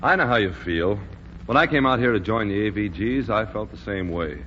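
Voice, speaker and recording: An actor playing a firm, patient American squadron commander, plainspoken, in a 1940s radio drama style. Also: male voice, on the low side, expressive, brisk, a bit bright. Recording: muffled, noisy vintage radio recording.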